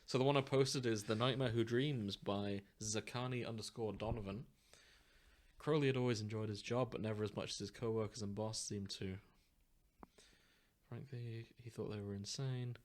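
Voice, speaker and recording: A man's voice: lowish voice, fairly even intonation, normal speed. A man co-hosting a podcast, chatting casually and jokily about fandom with enthusiasm. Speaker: podcast co-host. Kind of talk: casual fandom chat, some reading aloud of story summaries.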